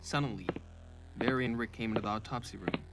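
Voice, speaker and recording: A man's voice: lowish voice, fast talker, heavy background noise. A man narrating a short audio drama as a scripted story.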